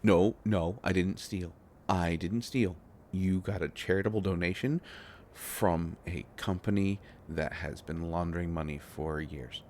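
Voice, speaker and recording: A man plays a shy young inventor boyfriend in an intimate audio drama, his voice low and unhurried. He stammers and rambles bashfully, tripping over his words with devoted affection. Some noise sits behind the voice. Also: fairly even intonation, a little mellow. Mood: nervous, defensive.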